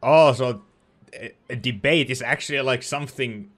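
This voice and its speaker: A man's voice: mid-range voice, normal speed, a bit bright. A man giving casual, wry, informal reactions as he plays through a game. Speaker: let's play streamer.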